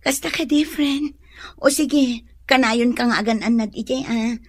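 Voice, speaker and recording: A woman's voice: fairly high pitch, a little slow, husky, no echo. A woman with an Ilocano accent acting in a radio drama as a meek, troubled young pregnant wife, hesitant and plaintive. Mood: subdued, hesitant.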